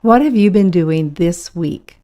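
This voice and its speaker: An adult American woman who interviews young people with short scripted questions, asked in a measured, even way. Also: on the low side, music in the background.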